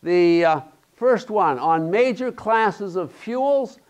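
A middle-aged man giving a conference keynote in a large lecture hall, explaining slides in a halting way with fillers and restarts, checking in with the audience. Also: high-pitched, lively intonation. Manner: halting, many fillers and restarts, tag questions. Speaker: keynote speaker.

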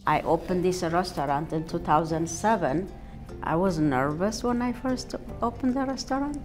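A woman's voice: fairly low pitch, normal speed, soft background music. An older woman from Ethiopia with an Ethiopian accent, a restaurant owner talking earnestly in a sit-down interview in her restaurant dining room. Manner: warm, earnest, with simple non-native phrasing. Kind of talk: casual interview.

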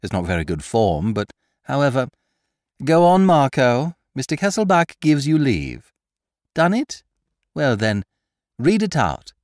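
A man voicing a cocky adult gentleman thief in an audiobook, with brisk, mocking, triumphant speech. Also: medium pitch, wildly swooping pitch, dry recording, clean studio sound, pitched above the performer's own voice. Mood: gleeful. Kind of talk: audiobook character voice.

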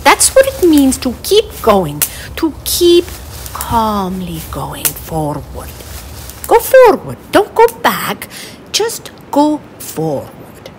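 A middle-aged woman playing an eccentric, theatrical fortune-teller, giving a forecast in halting, repetitive phrases with solemn whimsy. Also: on the high side, wildly swooping pitch, a little mellow, a little hiss or hum, heavily acted. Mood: calmly encouraging. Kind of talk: slow theatrical spoken forecast.

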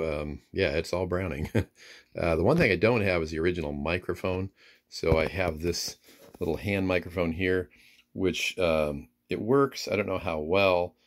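Male presenter, deep voice, easygoing and a bit hesitant, informal hands-on product walkthrough. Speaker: presenter demonstrating vintage radio gear. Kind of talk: casual unscripted product demonstration. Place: indoors, radio workbench.

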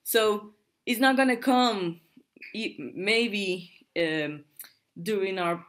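A woman speaks English as an in an online panel. Her voice sits on the low side, and her delivery is halting, full of restarts as she searches for words. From Cuba. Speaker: workshop speaker.

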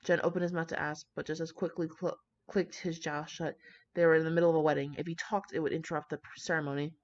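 A woman's voice: sits low, flat delivery, fast talker, lo-fi sound. A young woman reading fan fiction aloud, with brash, slangy, profane casual commentary.

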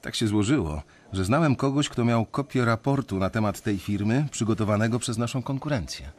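A man's voice: lowish voice, brisk, slight background noise, natural voice. An actor playing a resentful young investment banker who tells his story bitterly in a radio drama. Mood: bitter recollection.

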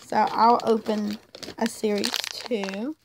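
A woman's voice: medium pitch, level tone, takes it slowly, creaky, background noise. A woman with a cold, chatting upbeat as she opens toy figure packs and names each one. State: has a cold, losing her voice. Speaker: toy unboxing vlogger. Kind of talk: casual unboxing chat. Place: indoors, toys on a pink blanket. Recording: loud plastic crinkling close by.

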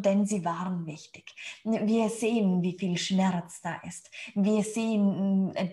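A middle-aged woman delivering an improvised spiritual message to a group, solemn and intimate, halting often with hums and repeated words. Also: medium pitch, darkish tone. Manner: halting, with frequent hums and word repetitions between phrases. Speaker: spiritual channeler. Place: indoors, small room on a sofa.

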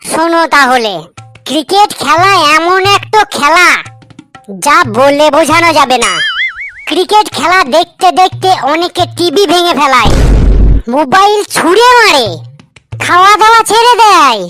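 A woman's voice: very high voice, animated, moderate pace, pushed and bright, soft background music, heavily acted. A woman voicing a cheeky young male egg seller in a comic cartoon, teasing and rambling with mock innocence. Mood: mock-earnest. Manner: teasing, long-winded mock explanations.

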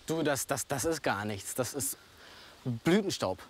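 A man's voice: mid-range voice, animated, unhurried, breathy edge. A young man playing a polite, awkward teenage count's son, speaking hesitantly in a children's audio drama.

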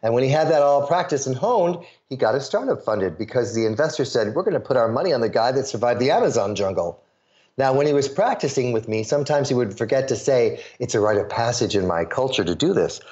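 A man's voice: mid-range voice, quick pace. Midwest guy coaching on sales stories, does little voices for the people he quotes, kinda muffled audio. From suburbs of Chicago, US Midwest. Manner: animated storyteller, acts out quoted voices. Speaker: guest, sales pitch coach. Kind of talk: interview answers with stories.

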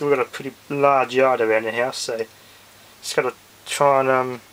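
A man with an Australian accent gives a casual hands-on demonstration in a halting, rambling way, often trailing off. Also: medium pitch, fairly even intonation, fairly bright tone, a little hiss or hum, poor audio. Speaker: hobbyist radio vlogger. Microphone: handheld camera's built-in microphone.

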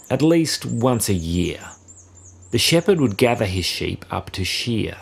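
A man narrating a rhyming children's story in an expressive, measured storytelling style. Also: on the low side, gravelly, breathy edge. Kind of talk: scripted rhyming children's story read-aloud.

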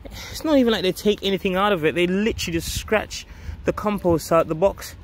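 A man's voice: sits high, brisk. Enthusiastic man chatting over outdoor noise. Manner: enthusiastic, emphatic, addresses viewers as guys. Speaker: vlog host, allotment gardener. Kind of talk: casual handheld garden update to viewers. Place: outdoors on an allotment garden. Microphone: handheld phone at close range. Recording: outdoor ambient and traffic noise. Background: outdoor ambience, vehicle noise.

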